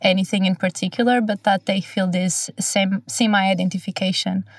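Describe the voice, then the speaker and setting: A woman's voice: medium pitch, fairly even intonation, moderate pace, dry recording, far from the mic. A young woman from Portugal hosting a casual podcast chat in a studio, friendly and hesitant with many fillers. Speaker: podcast host.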